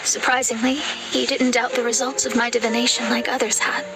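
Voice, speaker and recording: High-voiced, earnest young woman astrologer played by an actress. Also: little pitch movement, fairly quick, heavy background noise, close to an everyday voice. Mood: solemn. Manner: formal, articulate, self-serious. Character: proud, earnest young female astrologer, prickly but soft-hearted. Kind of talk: anime game voice acting. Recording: background music behind the voice.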